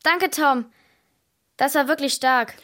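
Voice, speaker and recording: A woman's voice: sits high, takes it slowly, a bit bright. A woman playing a bratty, easily frightened girl in a children's radio drama, emphatic in delivery. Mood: timid. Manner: urgent, emphatic.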